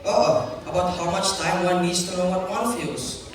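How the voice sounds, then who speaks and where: A man's voice: high-pitched, measured pace. A young man acting an ardent, self-assured young suitor in an amateur stage play. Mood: tender. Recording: echoey hall from far off, noisy.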